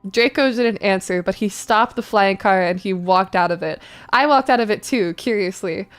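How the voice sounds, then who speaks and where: A woman's voice: medium pitch, moderate pace, faint music behind. A woman doing a comedic dramatic reading as a streamer, giggly and stumbling, with casual asides. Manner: giggly, stumbles over the text. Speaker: streamer reading fan fiction aloud.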